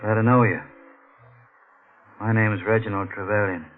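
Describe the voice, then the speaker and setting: A man's voice: lowish voice, fairly even intonation, moderate pace, lightly acted. An actor playing an eccentric, volatile male composer in a 1940s-style radio mystery, with precise, polite diction and a brooding, theatrical manner. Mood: eerily polite. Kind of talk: melodramatic 1940s radio acting. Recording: tinny old radio recording with music.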